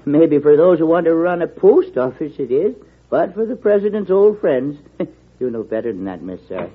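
An actor playing a good-humored, deferential small-town friend with a rural American accent in an old-time radio play. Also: male voice, on the high side, big pitch swings, normal speed, slightly rough. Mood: teasing. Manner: homespun, chuckling, deferential. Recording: muffled, tinny old radio broadcast.

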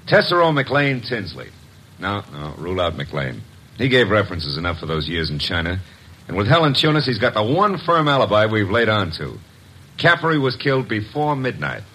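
A streetwise sidekick, old radio show, low n husky. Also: male voice, sing-song, normal speed, sharp tone, exaggerated voice. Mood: brash. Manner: brash, breezy and streetwise. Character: wisecracking male detective's assistant. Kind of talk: 1940s radio acting.

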